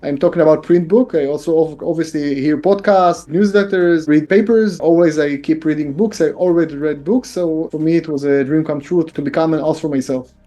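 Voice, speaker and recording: A man's voice: a little high, fairly quick, few pauses, mellow. A man in his forties from Israel, speaking English with an Israeli accent as a guest in an interview, earnest and full of fillers and repeated phrases. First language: Hebrew. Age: 40s. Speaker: guest author and business consultant. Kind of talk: casual interview talk.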